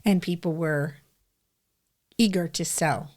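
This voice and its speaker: A woman's voice: fairly low pitch, takes it slowly, a little mellow. A middle-aged woman chatting casually as a podcast co-host. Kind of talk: casual conversational chat.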